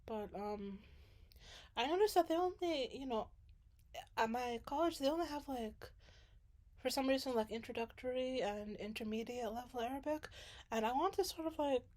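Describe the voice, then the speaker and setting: A woman's voice: high-pitched, lively intonation, normal speed, darkish tone. Chatty rambling young woman. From Sudan. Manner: rambling, many fillers and restarts. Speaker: graduate student. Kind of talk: casual chat.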